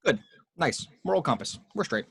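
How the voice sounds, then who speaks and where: A man's voice: on the high side, big pitch swings, moderate pace, gravelly, a bit bright, breathy edge, no room sound, exaggerated voice. A man playing a stubborn, weary, sardonic superhero in an amateur script read, with a dry conversational delivery.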